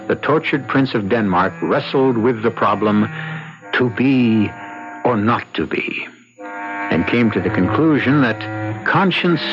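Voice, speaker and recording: A male radio storyteller played by an actor narrates with sinister grandeur. His mid-pitched voice moves dramatically up and down. It sounds like a dull old broadcast with music loud behind it. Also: lightly acted. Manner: dramatic, measured, ominous. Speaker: host and narrator of radio mystery show.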